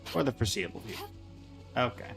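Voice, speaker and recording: A man's voice: mid-range voice, animated, fast, gravelly, over music. A man doing casual livestream game commentary, talking in short remarks to himself and to the characters on his screen.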